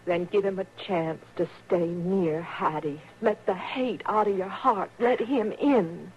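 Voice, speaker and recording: A woman's voice: on the low side, measured pace, a little mellow, highs cut off, lightly acted. An American woman playing a devout, sorrowful frontier mother in a radio western, speaking with earnest, soothing compassion. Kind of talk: 1950s radio western acting. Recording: noisy old radio recording.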